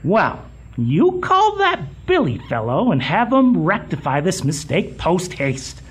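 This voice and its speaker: A fussy little middle-aged boss in a comedic radio play. He is pompous and patronizing, drawing his words out slowly with big swoops in pitch and the odd flustered stammer. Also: huge pitch swings, slightly rough, full character voice. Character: fussy, condescending, bald little museum boss. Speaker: museum supervisor. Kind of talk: comedic audio drama acting.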